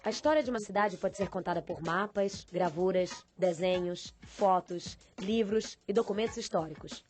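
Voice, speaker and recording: A woman reading scripted documentary narration in a measured, formal way. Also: fairly low pitch, a little mellow, soft background music.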